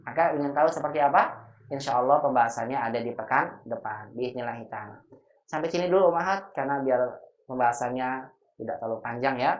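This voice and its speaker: Male teacher, patient explainer, drawn-out vocabulary drills, roomy echo. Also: mid-range voice. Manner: patient, spells out words slowly. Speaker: Arabic teacher. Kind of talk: recorded Arabic grammar lesson.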